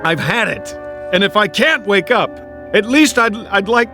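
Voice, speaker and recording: An actor playing a lonely, distressed Air Force man with no memory, rambling to himself haltingly and wryly in a radio drama. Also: high-pitched, animated, creaky, pushed and bright, faint music behind, exaggerated voice. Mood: desperate. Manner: rambling to himself, halting, wry joking turning agitated.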